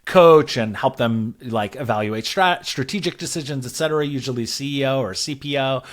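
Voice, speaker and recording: A man's voice: mid-range voice, fairly quick. A man speaking as an interview guest, explaining advice in a casual, structured way with conversational filler words. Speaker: podcast guest, growth advisor.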